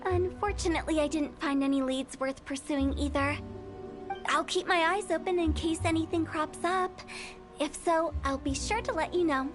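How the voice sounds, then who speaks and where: A very high, mischievous young female game character, acted by a woman. She is theatrical and eccentric, treating grim subjects with breezy playfulness. Music plays behind the voice. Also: normal speed, close to an everyday voice. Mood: teasing. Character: playful, eccentric young female funeral parlor director with macabre humor. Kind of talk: video game voice acting.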